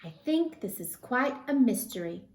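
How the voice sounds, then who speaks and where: A woman's voice: a little high, sing-song, moderate pace, a little mellow, reverberant, pitched above the performer's own voice. A woman voicing a small, friendly, easily frightened young bird in a storybook read-aloud. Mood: wondering.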